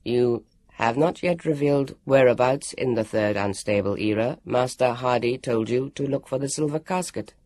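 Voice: medium pitch, normal speed, hardly any pauses, darkish tone, no echo, lightly acted. The narrator voicing a formal, solemn grown man who speaks stiffly and precisely.

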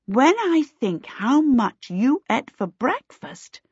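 A woman's voice: high voice, sing-song, takes it slowly, darkish tone, breathy edge, no room sound, dull audio, voice pushed up, full character voice. Woman reading as an earnest young storybook heroine, swooping intonation. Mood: patient, exasperated. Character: young female heroine, eager, soothing, quietly exasperated.

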